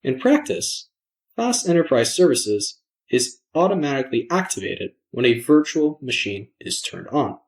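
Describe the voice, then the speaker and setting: A man's voice: medium pitch, big pitch swings, normal speed. Man narrating a product demo. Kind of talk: scripted screencast narration.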